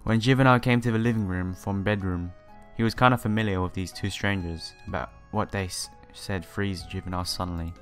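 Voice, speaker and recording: A man's voice: deep voice, steady tone, a little fast, hardly any pauses. A man doing a game playthrough, reading the story text aloud with casual asides and stumbling over some words. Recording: game music behind the voice.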